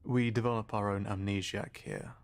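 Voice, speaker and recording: A man voicing a calm, coldly polite male scientist interrogator in a tabletop game, speaking softly with quiet menace. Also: on the low side, lightly acted. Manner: slow, soft-spoken, quietly menacing.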